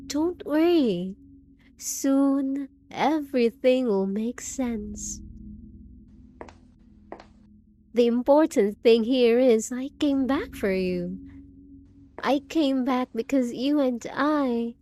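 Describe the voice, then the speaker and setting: Woman playing a slow, cooing, possessive undead bride. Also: a little high, a little mellow, slight background noise. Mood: possessive, soothing. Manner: drawn-out, cooing endearments with giggles and teasing questions. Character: possessive, unhinged undead bride, sweetly menacing, coaxing her spouse. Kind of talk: scripted roleplay audio drama.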